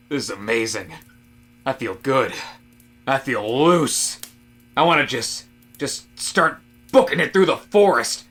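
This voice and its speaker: Fed-up office guy played by a male reader: halting, slangy, rebellious, bright voice, comic audio drama. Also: on the high side, heavily acted.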